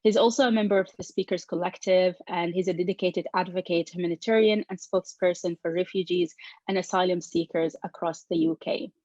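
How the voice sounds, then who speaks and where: Woman facilitator, low voice, dull dry sound. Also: fairly even intonation, dry recording. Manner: warm, halting, many restarts. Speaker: event facilitator. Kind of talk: formal introduction of guests.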